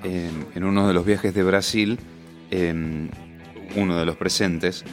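A man with a Rioplatense Argentine accent recounting anecdotes casually, building up to the point in halting steps. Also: low-pitched, steady tone, soft background music.

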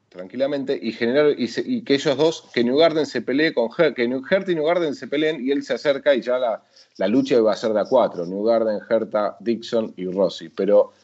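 A man with an Argentine accent hosting a casual motorsport chat, talking in a lively, slangy way with quick asides. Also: mid-range voice. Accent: Argentine Spanish. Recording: dull lo-fi sound.

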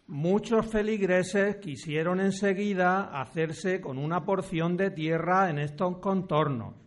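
Man playing a slow-speaking, high-voiced village priest. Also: fairly bright tone. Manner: deliberate, formal, old-fashioned speech. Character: courteous, authoritative village parish priest of the 1700s. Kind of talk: amateur historical radio drama acting.

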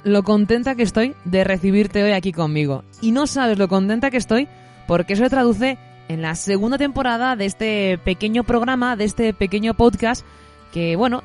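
A woman presenting a literary podcast in an expressive, effusive, semi-scripted way. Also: mid-range voice.

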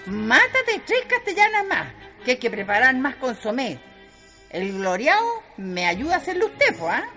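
An actress playing a bossy, hearty country woman in a radio drama, with a rural Chilean accent and a brisk, colloquial way of instructing. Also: high voice, wildly swooping pitch, bright, forceful tone, faint music behind, full character voice. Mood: bustling, hearty.

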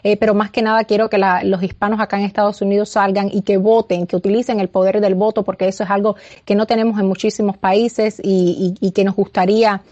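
A woman's voice: medium pitch, level tone, quick pace, few pauses, warm tone, lo-fi sound. A woman from Cuba, an interview guest speaking earnestly and persuasively, with many hesitations and restarts. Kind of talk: political interview. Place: remote, in the United States.

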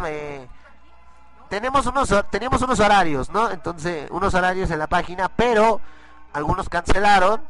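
A hoarse man, giving rambling live commentary on a school cultural event for the school radio. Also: sits high, poor audio. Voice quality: husky. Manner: rambling, restarts often, excited bursts.

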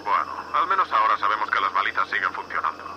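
A curt, foul-mouthed male space captain played by a man with a Spain accent and a very forceful, cutting voice. He is impatient and scornful, swinging in pitch, in a radio play with some background noise. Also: sing-song, fast, lo-fi sound, exaggerated voice. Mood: dismissive, irritated. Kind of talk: Spanish-language sci-fi audio drama acting.

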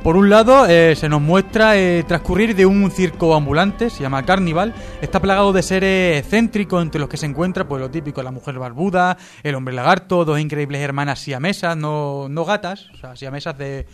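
A man's voice: a little high, normal speed. A man from Spain with a Spanish accent giving a casual, jokey radio review, partly read out and sometimes stumbling. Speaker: radio show contributor reviewing TV series.

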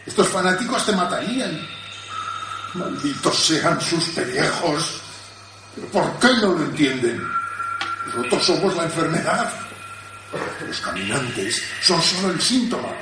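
A man's voice: a little high, sing-song, unhurried, long pauses, background noise. An actor playing an anguished, bitter father protecting his sick daughter, melodramatic in a radio drama. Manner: melodramatic, tender then cursing. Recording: very echoey, distant, music behind.

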